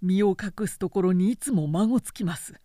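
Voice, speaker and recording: A woman's voice: medium pitch, moderate pace, dark tone, no echo, clean studio sound, close to an everyday voice. A woman voicing a humble, cautious man in an audiobook, speaking politely in a subdued, deferential way. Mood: meek, shaken. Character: humble, cautious man feigning timidity before a warlord.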